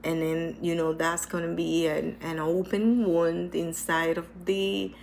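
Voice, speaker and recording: Woman, low and gravelly, stuffy with a cold; unhurried, earnest personal vlog, hesitant delivery. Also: little pitch movement, a little mellow, slight background noise. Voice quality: hesitant, with many restarts and repetitions. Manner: earnest, reflective, speaks slowly. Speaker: vlogger sharing her cancer experience. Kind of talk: casual personal talk to camera.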